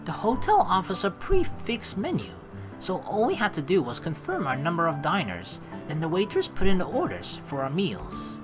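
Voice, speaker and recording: A woman's voice: lowish voice, wildly swooping pitch, moderate pace, music in the background. A woman let's play streamer reading game text aloud and adding chatty commentary.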